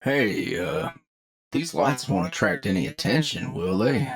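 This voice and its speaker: An actor playing a cautious, questioning starship companion in a sci-fi audio drama. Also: male voice, mid-range voice, big pitch swings, measured pace, vocal fry, crowd noise. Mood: wary. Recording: prominent music bed underneath.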